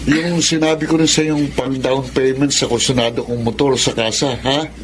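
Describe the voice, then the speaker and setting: A man's voice: medium pitch, fairly even intonation, normal speed, noisy background, close to an everyday voice. A man playing an offhand, slangy young Filipino brother-in-law in a radio drama, breezy and evasive. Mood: breezy, eager.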